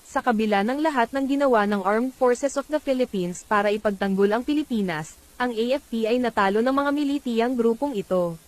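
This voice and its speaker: A woman's voice: a little high, fast, darkish tone. A woman narrating a scripted emergency bulletin as an announcer.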